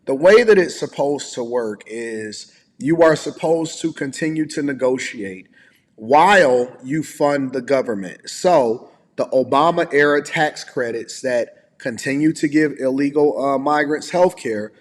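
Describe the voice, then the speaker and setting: Guy doing casual news commentary, mid pitch, lots of room reverb. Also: unhurried. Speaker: online commentator. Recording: roomy with long reverb.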